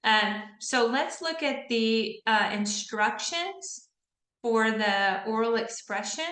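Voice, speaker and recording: A woman's voice: fairly high pitch, expressive, takes it slowly, fairly bright tone, echoey room. A woman, tutoring a group online in a chatty, informal way, often checking in with "right?". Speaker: test-prep tutor. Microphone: computer mic on a video call.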